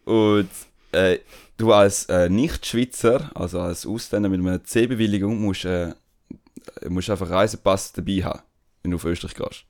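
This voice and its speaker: A young man with a Swiss German accent tells a story on a podcast. His voice sits a little low, and he talks in a relaxed, slangy way with plenty of hesitations. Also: moderate pace, creaky. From Switzerland. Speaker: podcast host. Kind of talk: casual chat.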